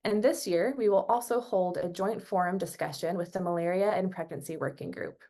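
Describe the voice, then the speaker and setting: A woman's voice: fairly low pitch, brisk, dark tone. A woman giving scripted organizational updates on an online conference call in a formal, organized manner. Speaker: working group coordinator giving updates.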